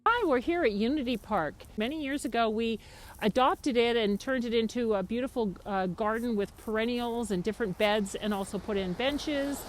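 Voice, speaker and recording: A woman's voice: fairly high pitch, normal speed, rough recording. Upbeat business director woman, slight outdoor hiss. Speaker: business association executive director. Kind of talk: documentary interview.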